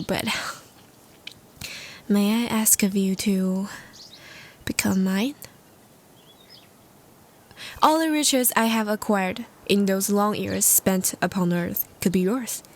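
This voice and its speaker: A woman voicing a polite, lovestruck ancient vampire who speaks in formal, old-fashioned, hesitant and earnest phrases in an intimate roleplay audio drama. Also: medium pitch. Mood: pleading, devoted.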